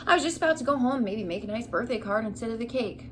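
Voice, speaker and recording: A woman's voice: on the high side, animated, fast talker, noisy background, pitched above the performer's own voice, lightly acted. A woman voicing a male wolf who tells his own storybook tale in an aggrieved, self-justifying, conversational and dramatic way. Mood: indignant. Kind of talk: children's storybook read-aloud.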